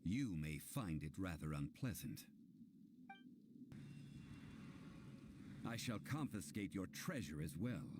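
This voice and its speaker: An actor playing a stern, composed scholarly gentleman in a game cutscene, speaking formally and deliberately. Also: deep voice, sing-song, noisy background. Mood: stern, threatening. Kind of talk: video game cutscene acting.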